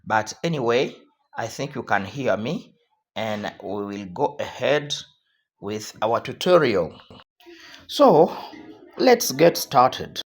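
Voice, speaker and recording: Hoarse male tutorial host, slow and repetitive instructional delivery. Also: medium pitch, very animated.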